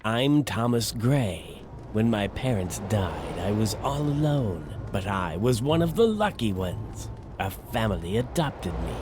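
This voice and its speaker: An actor playing a sinister, vengeful painter in a video game, speaking in a theatrical, taunting and menacingly polite manner. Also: male voice, fairly low pitch, background noise. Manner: slow, theatrical, taunting delivery. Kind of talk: video game villain monologue.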